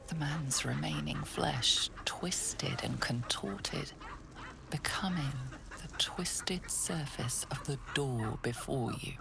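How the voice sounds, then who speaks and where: An actress playing the grave storyteller of a dark fantasy game, narrating in a measured, hushed and ominous way. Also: female voice, low voice, gravelly, background noise, heavily acted. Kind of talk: scripted second-person game narration.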